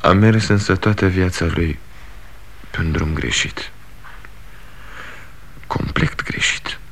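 An actor playing a disillusioned grown son in a 1960s radio drama, speaking with blunt resolve. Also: male voice, low-pitched, gravelly, a bit bright, slight background noise. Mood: bitter.

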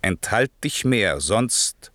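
A stern old magician and protective father in a classic radio drama. The voice is low and the delivery solemn and deliberate, every verse declaimed with authority. The sound is dry, with hardly any room around it. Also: big pitch swings, a bit bright, dry recording, full character voice. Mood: stern warning. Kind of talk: theatrical German radio play verse.

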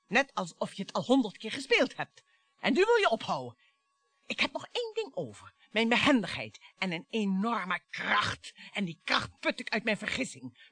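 An actress playing an embittered, taunting wife in a radio play, with a theatrical, mocking and volatile delivery. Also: female voice, mid-range voice, a bit bright, dry recording. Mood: menacing. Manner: dramatic, mocking, volatile monologues.

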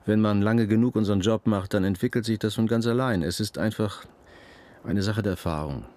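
An actor playing a calm, experienced police detective in a radio crime drama, speaking politely, deliberately and with reassurance. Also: male voice, on the low side, no echo, natural voice. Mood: calm, assured.

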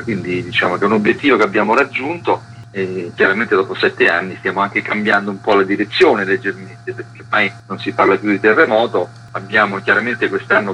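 Bright-voiced man, slightly low pitch. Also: a little hiss or hum, low-quality recording. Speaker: festival curator, interview guest. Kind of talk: radio interview, explanatory talk.

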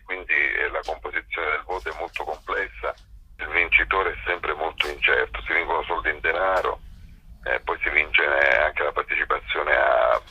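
A man speaking as a festival director in a spontaneous radio interview, with a rough, forceful voice. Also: a bass voice, dull audio.

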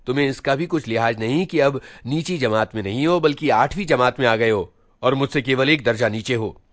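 A man's voice: mid-range voice, fairly quick, fairly bright tone, no echo, natural voice. A man voicing a stern elder brother in a story reading, a schoolboy who lectures his junior with scolding, emphatic moralizing and rhetorical questions. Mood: indignant scolding.

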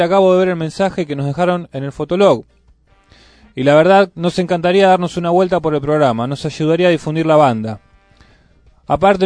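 A man's voice: high-pitched, darkish tone, dry recording. A man with a Buenos Aires accent co-hosting casual community radio, chatting and reading out messages in a studio. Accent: Buenos Aires Argentine. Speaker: radio co-host. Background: music.

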